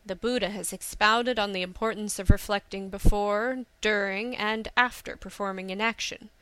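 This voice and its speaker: A woman's voice: mid-range voice, steady tone, normal speed, fairly bright tone, clear, resonant tone, no echo, close to an everyday voice, low-quality recording. A woman voicing a proud young landowner's son with a formal, earnest way of speaking, in a storybook reading.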